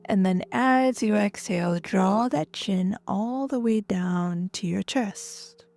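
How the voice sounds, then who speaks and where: A woman leading guided relaxation and breathwork as a yoga instructor, gentle and soothing, often trailing off into a breathy near-whisper. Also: medium pitch, takes it slowly. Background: soft music.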